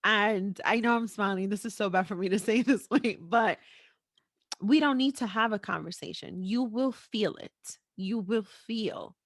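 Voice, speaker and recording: A woman hosts a podcast in an easy conversational style. She is frank and teasing, with a playful streak, and her mid-pitched voice sits in a dry recording with very little room sound. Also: a little slow, no echo. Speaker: podcast host. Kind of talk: casual chat.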